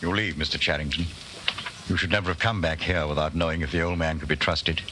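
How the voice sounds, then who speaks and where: An actor playing a grave, ominous narrator, dramatic and admonishing in the manner of 1940s radio drama. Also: male voice, a bass voice, fairly even intonation, fast. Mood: stern. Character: grave, ominous male narrator addressing the hero. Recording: old radio recording, faint noise.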